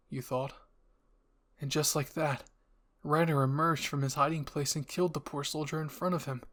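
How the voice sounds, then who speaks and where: A man's voice: a little high, fairly bright tone. A man reading scripted fan fiction as a narrator and channel host.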